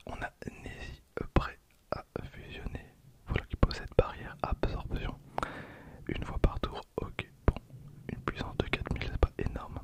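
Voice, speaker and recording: A man in his twenties whispers breathily and softly into a close microphone, hosting ASMR commentary with an unhurried, repetitive delivery. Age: 20s. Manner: soft, unhurried, repeats phrases. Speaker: ASMR creator and host. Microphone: close handheld-style stereo recorder.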